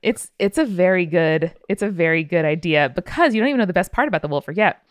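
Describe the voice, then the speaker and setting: A woman's voice: on the low side, animated, fast, no echo, clean studio sound. A woman hosting a podcast, reading out listener mail in a casual, irreverent way with wry asides. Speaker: podcast host.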